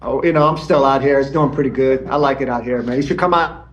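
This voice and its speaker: A man in his forties talks in a loose, unhurried ramble. He keeps dropping "man" and "you know" between thoughts, and his voice sits at an ordinary middle pitch. The sound comes over a remote video call. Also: fairly bright tone, highs cut off, a little hiss or hum. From Israeli and Iranian background. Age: 40s. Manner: laid-back, fills speech with "man" and "you know". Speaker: podcast guest. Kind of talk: casual conversation. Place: home in Germany, remote call.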